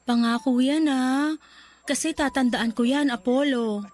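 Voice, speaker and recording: A woman playing a gravely ill young woman in a sentimental radio romance drama, loving, earnest and resigned to dying. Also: sits high, a little slow, a little mellow. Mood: hopeful. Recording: music under the voice.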